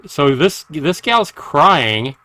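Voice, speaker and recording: A man livestreaming a visual novel, reading it out dramatically and deliberately with casual asides. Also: on the high side, sing-song, sharp tone, no echo. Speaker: game streamer. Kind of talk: reading a visual novel aloud with live reactions. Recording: music behind the voice. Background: game music.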